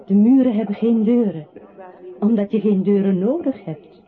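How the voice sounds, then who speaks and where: A woman playing a calm, ominous disembodied voice that haunts a man, narrating gravely and with measure in a radio play. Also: mid-range voice, normal speed, close to an everyday voice. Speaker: radio drama narrator-character. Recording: thin phone-like old radio recording. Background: music.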